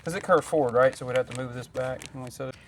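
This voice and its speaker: A man's voice: medium pitch, very fast. A man chatting casually as a mechanic, thinking aloud and asking questions. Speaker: mechanic, co-host. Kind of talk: casual shop chat. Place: workshop. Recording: noisy workshop with clicks.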